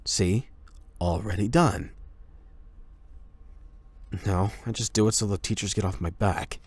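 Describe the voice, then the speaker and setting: A man playing a gruff, impatient teenage delinquent with a hidden caring side, brusque and defensive in an anime roleplay audio. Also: on the low side, measured pace, a little mellow. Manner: brusque, exasperated, defensive, with sighs.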